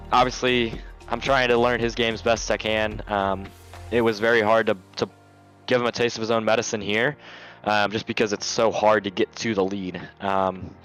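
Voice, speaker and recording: A low-pitched male racing driver with a hoarse, fading voice. He pauses often and speaks hesitantly, with faint music under him and the sound of an online voice chat. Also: a bit bright, poor audio. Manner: hesitant, halting speech. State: hoarse, losing his voice. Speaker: sim racing driver interviewed after a race. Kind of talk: casual post-race interview.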